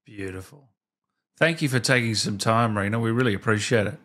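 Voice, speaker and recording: A man's voice: lowish voice, brisk, a bit bright. A man in his thirties interviewing a guest in casual chat, on a close studio microphone. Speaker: host, interviewer. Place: small indoor streaming room. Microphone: studio microphone close in front.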